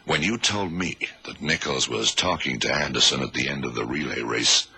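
Very deep, gravelly detective. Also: male voice, bright, forceful tone, exaggerated voice. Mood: assured, explaining. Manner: deliberate, expository, authoritative. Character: shrewd male American blind detective explaining a case. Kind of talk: 1970s radio drama acting.

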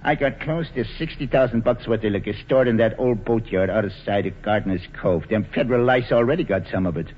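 An actor playing a cocky, slangy American gangster boss who talks breezily and pushily, in 1940s radio drama style. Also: male voice, lowish voice, fairly quick, hardly any pauses, husky. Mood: wheedling, pushy. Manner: breezy, pushy, wheedling talk in gangster slang. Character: slangy, cocky male bootlegger gang boss. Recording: dull vintage radio broadcast, reverberant, faint music.

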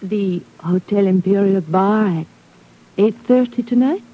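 Woman playing a husky, flirtatious radio secretary. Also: medium pitch, unhurried, natural voice. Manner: coy, theatrically languid. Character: flirtatious, worldly, sly female secretary. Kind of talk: 1950s radio drama acting. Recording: muffled old radio recording.

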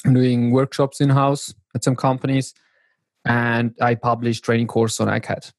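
A man from northern Italy, a software developer explaining things as a podcast guest. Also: mid-range voice, monotone, moderate pace, no echo. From South Tyrol, northern Italy. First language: German. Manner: explanatory, with restarts. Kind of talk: casual technical chat.

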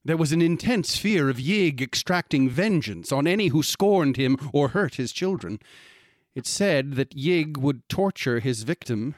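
Grave storyteller, very dry sound. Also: male voice, fairly high pitch, expressive, no echo. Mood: grave, expository. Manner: measured, storytelling, ominous. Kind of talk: radio drama acting.

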